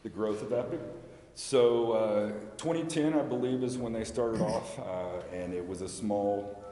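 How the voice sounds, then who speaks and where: American man, low voice, halting explanatory answers, public meeting, reverberant hall. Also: mellow. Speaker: school representative addressing a city council. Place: large indoor council chamber. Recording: echoey meeting hall with background noise.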